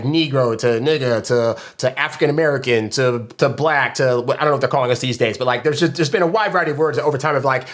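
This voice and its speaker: Fast-talking man in casual chat. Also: mid-range voice. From California. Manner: quick, joking, animated delivery with frequent "like".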